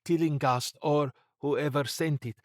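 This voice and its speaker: A man voicing a commanding woman secret agent in an audiobook, clipped, forceful and wry. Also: on the high side, fairly even intonation, a little slow, no room sound, studio quality, pitched above the performer's own voice, lightly acted. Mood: brisk, wry.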